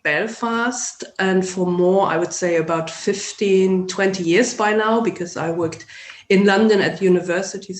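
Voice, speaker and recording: German-accented woman in a roomy echo. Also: fairly low pitch. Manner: thoughtful, academic, searching for words. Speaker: sociology lecturer, guest. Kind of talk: podcast interview.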